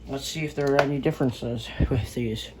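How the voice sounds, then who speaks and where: A man's voice: medium pitch, fairly quick, heavy background noise. A man giving a casual, unscripted product review in a hesitant, rambling way, on an amateur home recording. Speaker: gadget reviewer.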